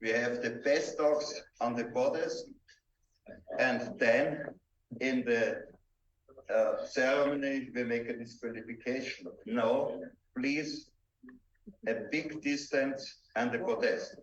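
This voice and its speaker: A man with an Austrian accent, a native German speaker, presenting a seminar in halting, simple English with deliberate emphasis. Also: medium pitch, steady tone, a little hiss or hum. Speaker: presenter instructing dog-trial judges. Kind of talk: seminar lecture. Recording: dull, echoey room, distant microphone.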